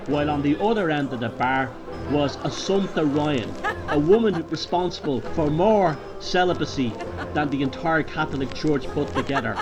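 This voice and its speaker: Man, Dublin Irish accent, higher-pitched voice, theatrical horror narration, deliberate delivery, clear music behind. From Ireland. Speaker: storyteller. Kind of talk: dramatic horror story narration.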